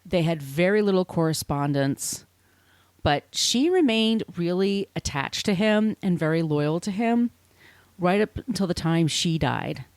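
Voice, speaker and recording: Woman podcast host, a touch low in pitch with very animated inflection, informal storytelling with asides. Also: no echo. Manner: informal, swearing, conversational asides. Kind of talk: casual storytelling chat.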